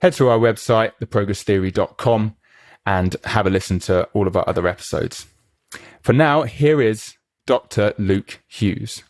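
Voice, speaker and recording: Male podcast host, lowish voice, dry close sound, keen conversational interviewer. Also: normal speed, a little mellow, no echo. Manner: eager, chatty, asks many questions.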